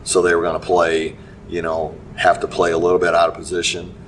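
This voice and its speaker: A middle-aged man, a basketball coach giving a halting post-game interview full of restarts and fillers. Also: sits low, monotone. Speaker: high school basketball coach. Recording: distant mic with faint background noise.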